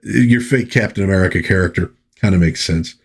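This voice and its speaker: A man hosting a casual review, talking in a halting, musing way with pauses and repeated phrases. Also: deep voice, breathy edge. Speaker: host of a comic book review series.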